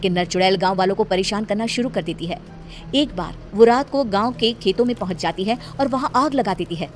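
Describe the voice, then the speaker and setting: A woman storyteller reading scripted narration for an animated tale. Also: mid-range voice, fairly quick. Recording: music behind the voice.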